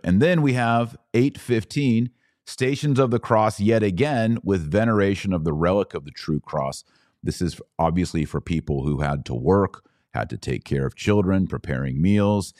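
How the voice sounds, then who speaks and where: A man's voice: sits low, darkish tone, no echo, studio quality. A man hosting a religious podcast, explaining things in a conversational, didactic way with emphatic restatements. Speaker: podcast host.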